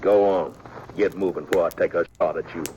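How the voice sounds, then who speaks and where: A man playing a gruff frontier outlaw. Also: low-pitched, fairly even intonation, brisk, mellow, slight background noise, lightly acted. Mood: menacing. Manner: drawling, menacing, rough country talk. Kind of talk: 1950s radio drama acting. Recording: muffled old radio recording.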